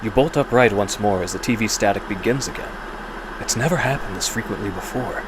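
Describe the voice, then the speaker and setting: A man's voice: lowish voice, fairly quick, background noise. A man delivering suspenseful, theatrical scripted narration as the storyteller of a horror audio drama.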